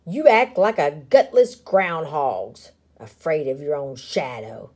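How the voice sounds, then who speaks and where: Woman as a storybook prairie dog bully, male, booming growl, snarling orders, scornful, drawn-out words. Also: lively intonation, darkish tone, exaggerated voice.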